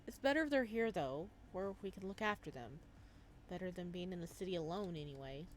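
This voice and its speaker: A woman's voice: fairly low pitch, animated, a little fast, a little hiss or hum, lightly acted. A woman voicing a friendly, protective young game character in a casual, coaxing manner. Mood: reassuring.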